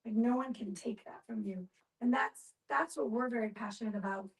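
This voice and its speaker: A woman's voice: on the high side, moderate pace, recorded from a distance, rough recording. A woman speaking earnestly in a group discussion, explaining her point with encouraging emphasis.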